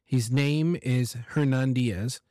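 A man's voice: medium pitch, slow, dark tone, dry recording, studio quality. A man hosting a podcast introduces a clip and gives his opinions in an earnest, emphatic, persuasive way. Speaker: podcast host. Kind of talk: casual podcast commentary.

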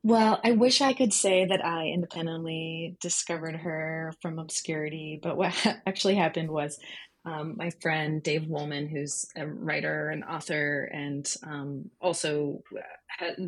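A woman's voice: sits low, steady tone, a little slow, slightly rough. A woman answering interview questions conversationally as a guest journalist.

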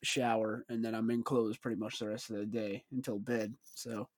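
A man's voice: lowish voice, level tone, moderate pace, no room sound. A man around twenty teasing his friend in a laid-back casual podcast chat. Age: about 20. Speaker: podcast co-host.

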